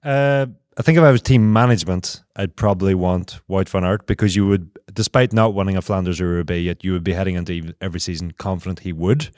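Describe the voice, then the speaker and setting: A man's voice: low voice, steady tone, fast talker, few pauses, darkish tone, no echo, studio quality. A man co-hosting a casual podcast chat, analytical, working through long explanations with restarts. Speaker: podcast co-host.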